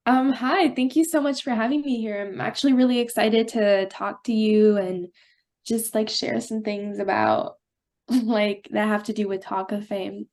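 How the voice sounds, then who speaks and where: A woman's voice: mid-range voice, steady tone, measured pace, darkish tone. A teenage girl from Brazil, a young actress answering interview questions, bubbly and hesitant with fillers. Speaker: guest actress.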